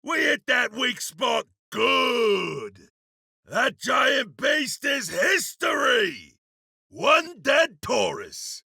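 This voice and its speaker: An actor playing a seasoned, courteous frontier gunslinger in a video game, with a gravelly, raspy voice and a folksy drawling manner. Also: male voice, very high voice, sing-song, drawn-out words, sharp tone, no room sound, shouting, clean studio sound, full character voice. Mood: grimly pleased. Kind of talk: video game character voice lines.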